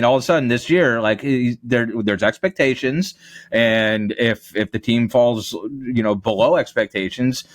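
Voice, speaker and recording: A man's voice: fairly low pitch, no echo, studio quality. A man giving conversational sports analysis as a podcast guest. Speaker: radio play-by-play announcer, podcast guest.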